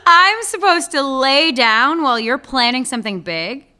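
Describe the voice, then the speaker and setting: Casting: a woman as a sarcastic top cop, bright clear voice, animated delivery. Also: high-pitched, normal speed. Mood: guarded. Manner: dry, sarcastic. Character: sardonic female police chief. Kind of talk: radio play acting.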